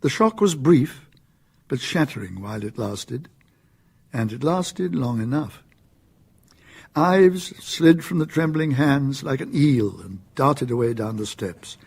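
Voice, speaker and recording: Gravelly male radio drama narrator. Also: mid-range voice, animated, takes it slowly, darkish tone. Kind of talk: scripted dramatic storytelling for radio.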